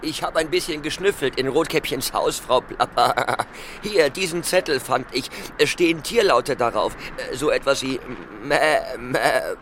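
A man's voice: medium pitch, a little slow, fairly bright tone, slight background noise. A man voicing a bumbling, easily flustered goat secret agent in a children's audio play, stammering and self-important. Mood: eager, muddled.

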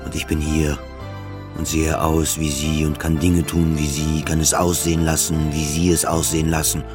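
A man's voice: a bass voice, level tone, moderate pace. An actor playing a self-doubting, depressed man in an intimate, brooding first-person radio play monologue. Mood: anxious, brooding. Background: music.

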